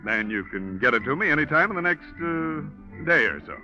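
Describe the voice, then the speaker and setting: A jovial, slippery American businessman, with a highish voice that swings up and down. He blusters, chuckles and hems evasively in 1940s radio drama fashion. The recording is dull and old. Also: big pitch swings, fairly quick, bright, forceful tone, heavily acted. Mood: evasive, smug. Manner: blustering, hemming and hawing, chuckly. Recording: lo-fi old radio, music behind.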